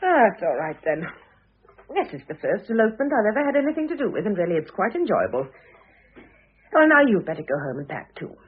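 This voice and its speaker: An actress playing a shrewd, composed middle-aged divorced wife in a classic radio play, dry and poised. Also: female voice, medium pitch, big pitch swings, fast talker, slightly rough. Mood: dry, amused. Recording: narrow, phone-like old radio recording.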